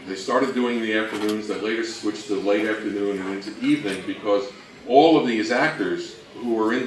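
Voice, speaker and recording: A man giving an informal, explanatory talk on radio history as a presenter, speaking somewhat haltingly. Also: lowish voice. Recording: roomy, distant mic, faint noise.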